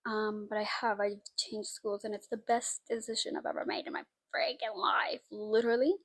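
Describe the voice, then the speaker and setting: A woman's voice: mid-range voice, lively intonation, normal speed, vocal fry, fairly bright tone, no echo. A young woman from Costa Rica with a mixed Costa Rican and Spanish accent, chatting to camera at home in a rambling, self-deprecating way with lots of fillers. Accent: mix of Costa Rican and Spanish from Spain. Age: young adult. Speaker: vlogger. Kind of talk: casual chat to camera. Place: home room. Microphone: camera mic.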